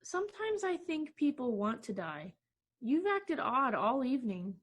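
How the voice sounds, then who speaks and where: A woman's voice: sits high, sing-song, a little slow, heavily acted. A woman playing a worried, gloomy friend who urges caution, uneasy and reproachful, in an old-time radio play. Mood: reproachful worry. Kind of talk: 1940s radio play acting.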